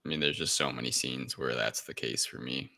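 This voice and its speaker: A man's voice: extremely low pitch, steady tone, a little fast, vocal fry, sharp tone. A man talking casually as a panelist in a film review discussion. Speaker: podcast panelist.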